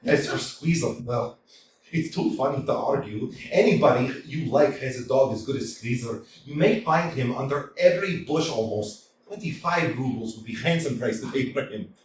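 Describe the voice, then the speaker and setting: A man's voice: mid-range voice, normal speed, slight background noise, lightly acted. A man voicing an anxious, quarrelsome young landowner who keeps complaining about his heart, in a staged play reading recorded in an echoey hall from a distance. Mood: indignant. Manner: fretful, complaining, flustered. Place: small theatre stage.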